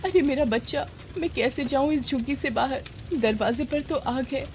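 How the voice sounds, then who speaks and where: A woman voicing a distressed, self-sacrificing poor young mother in an animated moral story, pleading and emotional. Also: sits high, normal speed, slight background noise, pitched above the performer's own voice. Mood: panicked.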